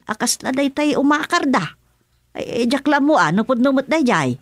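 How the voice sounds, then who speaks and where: A woman's voice: sits high, big pitch swings, normal speed, dry recording. A woman with an Ilocano Filipino accent playing an inquisitive, gossipy village wife in a radio comedy drama. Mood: gossiping eagerly.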